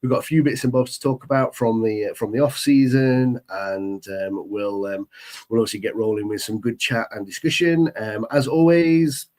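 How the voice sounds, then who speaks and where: A man's voice: fairly low pitch, moderate pace, a little mellow. A British man hosting a casual podcast chat, stuffy with a summer cold and rambling with many ums. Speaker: podcast host.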